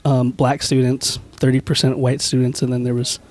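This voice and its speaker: A man from Washington State talks as a guest on a podcast panel. He is measured and hesitant, and often starts his sentences over. His pitch stays flat and even. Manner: measured, hesitant, many restarts and "you know". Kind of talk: casual panel chat.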